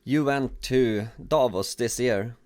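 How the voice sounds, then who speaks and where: A man hosting a podcast interview, asking questions and speaking haltingly with frequent restarts. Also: medium pitch, a little mellow, smooth, no room sound, studio quality. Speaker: podcast host.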